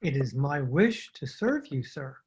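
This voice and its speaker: A woman's voice: extremely low pitch, big pitch swings, measured pace, a little mellow, slightly rough, exaggerated voice. A woman playing a thin, obsequious male rug merchant in a radio drama, speaking with formal, humble, ingratiating patter. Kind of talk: old-time radio drama acting.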